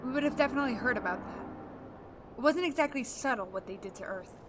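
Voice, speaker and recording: An actress playing a blunt, hardened war-veteran space captain in a science-fiction audio drama. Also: female voice, sits high, animated, quick pace, noisy background. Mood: uneasy, insistent. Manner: blunt, hard-edged.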